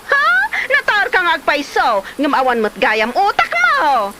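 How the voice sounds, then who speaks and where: A woman's voice: high-pitched, wildly swooping pitch, unhurried, background noise, full character voice. A woman playing an aggrieved young mother and wife in a comedy drama, with a Filipino accent and a dramatic, exclamatory delivery. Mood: scolding, indignant.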